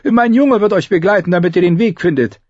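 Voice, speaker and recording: A man's voice: high voice, a little fast, dry recording, muffled recording, voice pushed up. A man voicing a hesitant, cheeky village innkeeper in an audiobook. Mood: casually servile. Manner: hesitant, starts with "äh". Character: insolent, hesitant village innkeeper offering a horse. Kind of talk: audiobook character voice.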